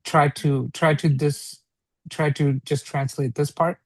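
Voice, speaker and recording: A man's voice: fairly high pitch, fairly even intonation, takes it slowly, no echo. A man teaching a one-on-one religious read-along lesson, repeating and rephrasing points and checking with short questions.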